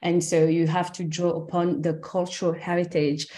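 A woman from Cameroon in her thirties speaks as a guest founder in a relaxed interview. Her voice sits a little low, and she talks in a reflective way, with frequent ums and small laughs. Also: normal speed. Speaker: skincare company founder, guest. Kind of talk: conversational interview.

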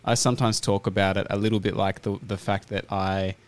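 An Australian man hosting a podcast, talking in a relaxed, thoughtful way with plenty of fillers. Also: fairly low pitch, fairly quick, clear, resonant tone. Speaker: podcast host. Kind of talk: casual interview chat.

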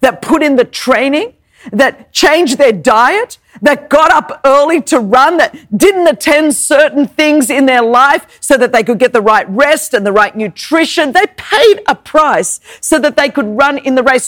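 Woman preacher, Greek first language, high lively voice, dry close mic, emphatic sermon style. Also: measured pace, dry recording. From Greek Orthodox family background. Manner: emphatic, repeats words, rhetorical questions.

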